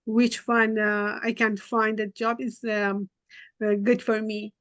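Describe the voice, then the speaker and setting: A woman's voice: a little high, level tone, takes it slowly, no room sound. A woman on a video call asking about jobs, hesitant and searching for words. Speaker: event attendee, job seeker.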